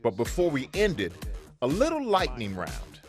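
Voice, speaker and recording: A man's voice: mid-range voice, huge pitch swings, measured pace, faint music behind. A man delivering scripted news narration in a polished, dramatic way. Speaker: news reporter.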